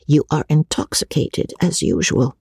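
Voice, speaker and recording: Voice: medium pitch, big pitch swings, a little slow, gravelly, darkish tone, breathy edge, no echo, clean studio sound, full character voice. A reader voicing a cold, scornful and clever clergyman's wife in a storybook reading.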